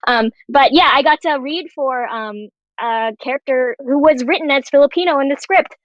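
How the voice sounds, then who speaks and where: A woman's voice: high voice, moderate pace, no room sound. A woman, an actor, chatting casually on a panel, recorded with slightly lo-fi sound. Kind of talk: casual chat.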